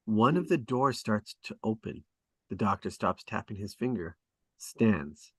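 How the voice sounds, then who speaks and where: A man reading stage directions aloud at a script table read, in a deliberate, descriptive way. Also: fairly low pitch, lively intonation, a bit bright, breathy edge, dry recording.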